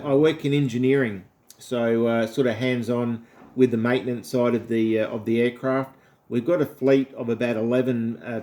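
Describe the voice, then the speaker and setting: A man's voice: mid-range voice, darkish tone. A man with an Australian accent chatting casually as an amateur radio operator, friendly and complimentary in manner. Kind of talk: casual ham radio conversation.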